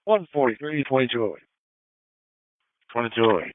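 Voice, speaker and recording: A man's voice: medium pitch, lively intonation, a little fast, a little hiss or hum. A man giving terse police radio calls as a dispatcher over a two-way radio. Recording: dull, narrow police scanner radio.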